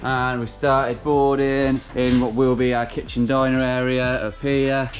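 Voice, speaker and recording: A builder narrates his own site in an upbeat, step-by-step way. His voice sits at a middling pitch and an everyday pace. A clear music bed plays beneath him. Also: flat delivery, measured pace. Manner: upbeat, explains work step by step. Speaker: builder presenting a build vlog. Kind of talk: casual on-site commentary.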